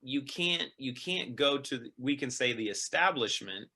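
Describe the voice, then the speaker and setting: A man's voice: mid-range voice, expressive, moderate pace, bright, forceful tone, slightly rough. A male host putting questions to a guest and explaining at length in an earnest, filler-heavy way. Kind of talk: interview questions and commentary.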